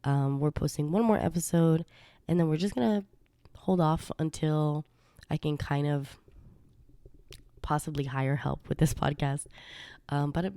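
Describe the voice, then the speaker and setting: A woman's voice: sits low, fairly even intonation, measured pace, long pauses, clear, resonant tone, no room sound. A woman hosting her own podcast in a chatty, rambling way, sounding congested from a recent illness. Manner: chatty, rambling with fillers and soft laughs. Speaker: podcast host. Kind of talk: casual solo podcast talk.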